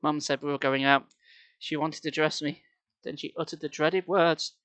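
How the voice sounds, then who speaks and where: A man's voice: on the high side, little pitch movement, moderate pace, fairly bright tone, clean tone. A man reading a story aloud on a live stream, theatrical and playful, with casual asides.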